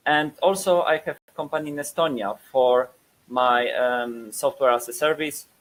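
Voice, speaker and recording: Polish man, 30s, webinar presenter, hesitant, mid-pitched voice. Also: moderate pace, fairly bright tone, rough recording. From Poland. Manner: hesitant, many fillers and repeated words.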